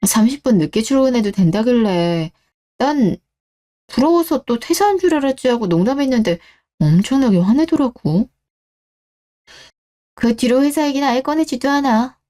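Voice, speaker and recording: A woman's voice: a little high, animated, moderate pace, long pauses, dark tone, lightly acted. A woman playing an earnest, hurt wife and daughter in a scripted radio drama. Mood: defensive, worried.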